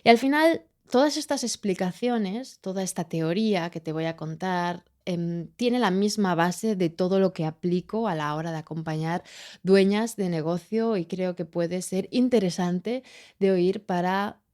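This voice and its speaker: A woman raised in Spain with a Spanish accent hosts her podcast alone. Her voice sits fairly low and mellow, and she thinks aloud, pausing and restarting as she searches for the right words. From Chile. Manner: searching, hesitant, many fillers and restarts. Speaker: podcast host. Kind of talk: reflective solo podcast monologue.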